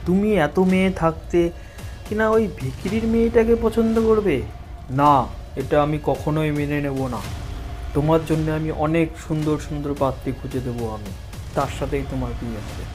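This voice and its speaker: A man's voice: a little high, normal speed, darkish tone, natural voice. Man acting a hot-tempered village landlord, music underneath. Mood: angry, scolding. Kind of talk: cartoon story dialogue.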